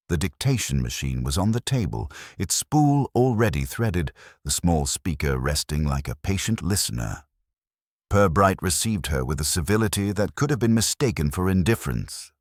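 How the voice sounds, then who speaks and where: Very deep-voiced male story narrator. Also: no echo, clean studio sound. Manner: measured, literary. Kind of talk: scripted mystery story narration.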